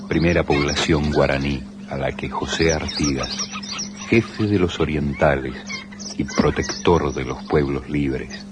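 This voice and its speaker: A man narrating a historical radio drama in a grave, formal way. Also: rumbling low.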